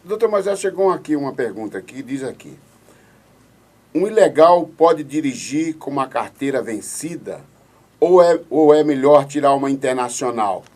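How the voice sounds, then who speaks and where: A man's voice: mid-range voice, animated, normal speed, darkish tone. A man hosting a radio show, reading listener questions and politely laying out hypothetical cases. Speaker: radio host.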